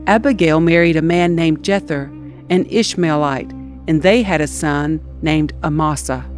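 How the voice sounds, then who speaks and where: A woman's voice: low-pitched, unhurried, over music. A woman reading scripture aloud as a scripted audiobook narration. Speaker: scripture reader.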